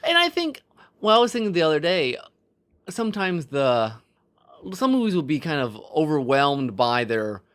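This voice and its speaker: A man co-hosting a podcast, casually discussing films and storytelling as he thinks out loud. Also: on the high side, wildly swooping pitch, normal speed. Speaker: podcast co-host.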